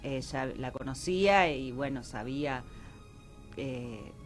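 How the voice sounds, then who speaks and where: A woman's voice: rumbling low, slow pace, faint music behind. A woman with an Argentine Rioplatense accent giving a casual interview, informal and agreeable, with hesitant phrasing. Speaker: theatre director, interview guest. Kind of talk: casual radio interview chat.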